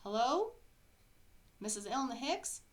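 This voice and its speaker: A woman voicing an excitable, salesy prize announcer on the telephone in a storybook. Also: fairly high pitch, takes it slowly, voice pushed up. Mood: excited.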